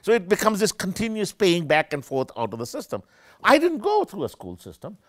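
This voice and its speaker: An older man, a professor, giving an animated and discursive academic lecture with many self-corrections, seated in a small room with armchairs. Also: sits high, fairly quick, no echo, clean studio sound. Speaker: keynote speaker, professor.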